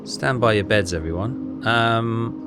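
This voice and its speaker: A man's voice: mid-range voice, slow pace. A man giving a casual game walkthrough, muttering and questioning himself as he thinks aloud. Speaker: game streamer. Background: game music.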